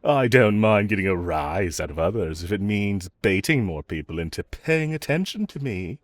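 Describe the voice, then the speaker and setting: Male actor as teasing villain for audio roleplay: lowish voice, swooping inflection, smug, scheming, flirty. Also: lively intonation, no echo, natural voice. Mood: flirtatious, boastful. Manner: teasing, coaxing, self-assured, drawn-out phrasing. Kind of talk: scripted audio roleplay addressed to the listener.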